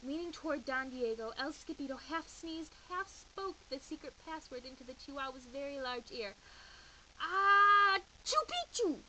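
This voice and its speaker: A woman reading a children's bedtime story aloud in an expressive, animated way with dramatic emphasis. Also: high voice, normal speed, hardly any pauses, rough recording. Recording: faint hiss behind voice.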